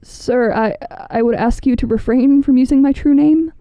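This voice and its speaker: A woman playing an uneasy, deferential subordinate who confronts her master in an audio drama, hesitant and hushed. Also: high voice, moderate pace, no room sound, dull audio. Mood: nervous, hesitant.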